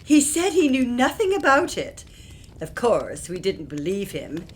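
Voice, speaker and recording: A woman's voice: on the high side, wildly swooping pitch, measured pace. A woman playing an anxious, genteel Victorian wife in an audio play, speaking formally and earnestly. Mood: earnest, distressed. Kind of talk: audio theatre acting. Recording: faint background noise.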